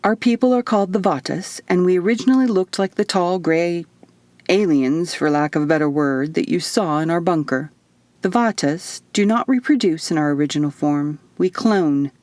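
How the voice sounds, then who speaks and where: A woman's voice: lowish voice, moderate pace, darkish tone, dry recording, natural voice. A woman voicing a stern, matter-of-fact male alien guardian in human form for an audiobook, explaining things firmly. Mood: measured, explaining. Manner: firm, explanatory. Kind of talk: audiobook character dialogue.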